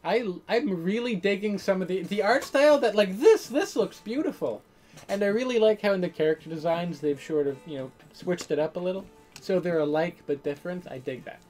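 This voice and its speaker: A woman's voice: on the low side, expressive, brisk, a little mellow, soft background music. A woman reading a visual novel aloud and adding casual commentary as host of a gaming video.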